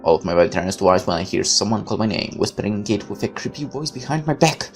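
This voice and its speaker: A man reading a visual novel aloud as a let's player, in expressive narration broken up by casual asides. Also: on the low side.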